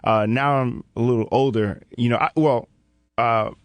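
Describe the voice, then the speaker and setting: Male podcast host, medium pitch, informal and affirming, casual interviewing, dry recording. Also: steady tone, moderate pace, no echo. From Haiti. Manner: affirming, informal, repeats guest's words.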